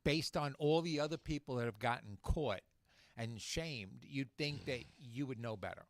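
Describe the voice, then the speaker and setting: Male radio co-host with a Long Island accent, mid-pitched, chatty and opinionated, dry studio sound. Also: lively intonation, dry recording. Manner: chatty, opinionated, quick short agreements. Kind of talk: casual radio talk.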